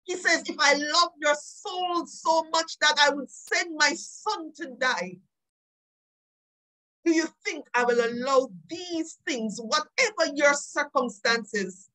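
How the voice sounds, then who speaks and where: A woman preaches with great feeling in a high, forceful voice, drawing her words out slowly. She sounds tearful and pleading, and repeats phrases for emphasis as she delivers her live sermon. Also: rough recording. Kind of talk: impassioned live online sermon.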